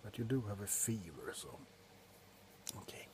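A middle-aged man playing a grumpy, drunk doctor in an ASMR roleplay, grumbling sardonically close to the mic between soft talk and whispers. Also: fairly low pitch, sing-song, normal speed, background noise. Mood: grumbling, sardonic. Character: grumpy, drunk male doctor on a Christmas house call. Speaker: ASMR performer. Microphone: very close to the face.

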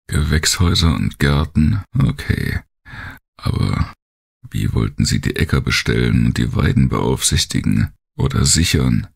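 A man narrating a first-person thriller audiobook in a gravelly, husky voice, with brooding, deliberate and dramatic phrasing. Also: extremely low pitch.

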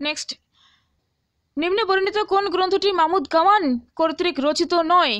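A woman's voice: very high-pitched, fairly quick, fairly bright tone, dry recording, highs cut off. A woman teaching through an exam answer key in an instructional way, often checking in with listeners.

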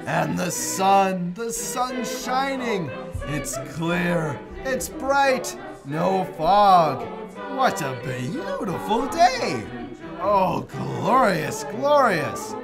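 Casting note: an exuberant old miser in a radio play, slow-spoken with wildly swooping pitch. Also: male voice, big pitch swings, creaky, over music, exaggerated voice. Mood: overjoyed. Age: older. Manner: exuberant, laughing, theatrical. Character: elderly male miser, giddy and reformed. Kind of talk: radio drama acting.

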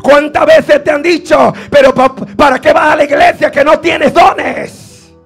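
High-pitched, gravelly man preaching fervently. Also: steady tone, unhurried. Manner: exhorting, repeats phrases insistently, breaks into glossolalia. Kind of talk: fervent Pentecostal sermon. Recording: preaching over faint background music. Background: faint music.

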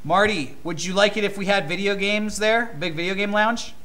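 A young American man with a fairly high, sharp, bright voice. He banters as a podcast host, blunt and deadpan, teasing whoever he talks to. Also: moderate pace. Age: young adult. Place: indoor room with arcade cabinets.

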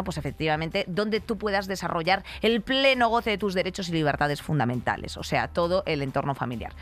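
A woman's voice: medium pitch, a bit bright. A woman in her thirties with a Spain accent, co-hosting a studio podcast with rambling, colloquial, blunt commentary. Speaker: podcast co-host. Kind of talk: conversational opinionated commentary. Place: indoor radio-style podcast studio. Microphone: studio microphone on desk stand.

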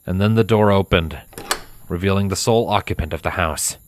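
A man with a deep voice reads fiction as a narrator. His delivery is scripted and dramatic, recorded dry and close. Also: brisk, no echo.

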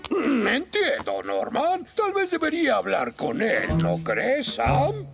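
A stern, pompous older fire chief from a Latin American Spanish cartoon. His voice is high and creaky, swinging widely in pitch. He blusters through his orders over loud music. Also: wildly swooping pitch, takes it slowly, exaggerated voice. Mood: authoritative, musing. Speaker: fire station officer. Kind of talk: animated children's series dub.

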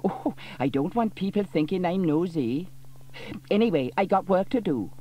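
Man putting on a high, fussy gossip woman, clucking, gasping, storybook reading. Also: animated, moderate pace, dark tone. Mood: nosy, flustered. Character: nosy gossiping middle-aged village woman.

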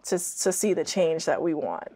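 A woman's voice: low voice, lively intonation, moderate pace, warm tone. A young woman from the Bahamas speaking reflectively and earnestly as a guest in a conversational studio interview. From Andros, Bahamas. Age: young adult. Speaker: podcast guest, author. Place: small studio, seated indoors.

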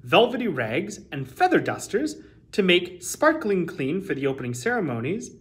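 A man in his thirties reading a children's picture book aloud as a storytime host, with deliberate, emphatic phrasing. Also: sits high, very animated.

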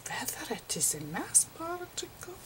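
Slow whispering woman, ASMR lecture. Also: sits high, big pitch swings. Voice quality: whispery, breathy. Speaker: ASMR performer playing a physicist. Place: close over a chalkboard on a table indoors.

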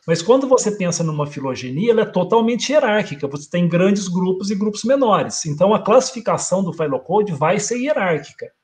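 A middle-aged man on a video call, giving a relaxed explanatory talk and thinking aloud, often ending sentences with a tag question. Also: high voice, fairly quick, hardly any pauses. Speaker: guest lecturer. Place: home room, indoors.

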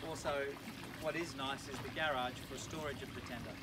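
An enthusiastic middle-aged man walks through a sailing yacht like a salesman, his voice sitting at an ordinary, middle pitch. He sounds a bit set back from the lapel mic. Clear marina background noise runs under him. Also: steady tone, a little fast, distant mic. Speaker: yacht broker. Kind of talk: presenting a walkthrough tour. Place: aboard a moored sailing yacht, deck and cabins.

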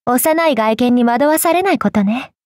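A woman's voice: a little high, expressive, moderate pace, darkish tone, dry recording, studio quality, lightly acted. A woman voicing a proud, cool warrior in a game, with a haughty, blunt manner. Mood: cool warning. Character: proud, cool female alter ego warrior. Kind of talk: Japanese game character voice lines.

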